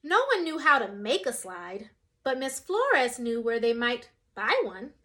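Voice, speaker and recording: A woman's voice: fairly high pitch, measured pace. A young adult woman reading a children's picture book aloud, expressively changing her voice for the characters. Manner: expressive, shifts voice for quoted characters.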